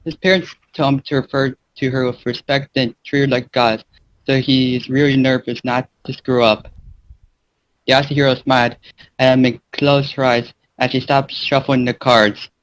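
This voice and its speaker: A man's voice: mid-range voice, flat delivery, normal speed, poor audio. A man reading a fan fiction aloud as an amateur narrator, now and then stumbling over words. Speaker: fan fiction reader and gaming channel host.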